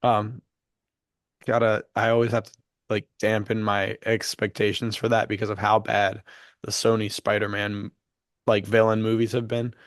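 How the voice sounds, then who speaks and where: A man's voice: lowish voice, level tone, moderate pace, no echo, clean studio sound. A man co-hosting a podcast, chatting in an enthusiastic, slangy and jokey way, often saying "dude". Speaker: podcast co-host. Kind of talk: casual enthusiastic chat.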